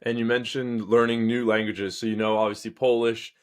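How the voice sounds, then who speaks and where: A man hosting a podcast, asking rambling, relaxed interview questions. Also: medium pitch, a little fast. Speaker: podcast host.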